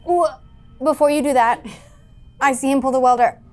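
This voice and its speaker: A woman's voice: sits high, steady tone, moderate pace, soft background music. A woman playing a tabletop role-playing game, talking in casual table chat.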